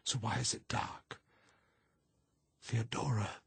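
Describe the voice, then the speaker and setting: A man voicing a frightened, highly strung woman who steels herself against terror, intense and insistent, in a storybook reading. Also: steady tone, slow, gravelly, fairly bright tone, husky, no echo, full character voice. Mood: frightened, bewildered.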